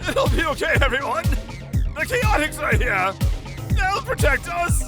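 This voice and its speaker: A man's voice: very high-pitched, sing-song, a little slow, loud music behind the voice, heavily acted. A man voicing a kindly, timid reformed villain turned tinkerer in a cartoon fandub, polite, earnest and theatrical. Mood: anxious, reassuring. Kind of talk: cartoon comic fandub acting.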